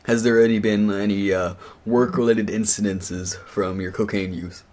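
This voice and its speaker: A man playing a stern, accusing attorney on a prank call, questioning in an official but halting way that repeats words. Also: on the low side, fairly even intonation, a little mellow, natural voice. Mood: probing. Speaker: prank caller. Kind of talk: interrogation on a prank phone call.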